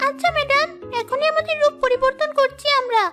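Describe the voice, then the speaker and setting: A woman's voice: very high voice, steady tone, fairly quick. A woman voicing a mischievous little ghost schoolgirl in a children's cartoon, with a whiny, childlike manner. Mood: curious, polite. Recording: loud children's cartoon music behind.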